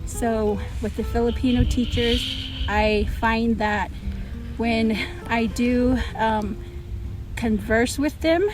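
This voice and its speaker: A woman with a fairly high voice speaks casually, as a teacher answering interview questions. She explains her points through examples. Music plays clearly behind her. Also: slow, poor audio.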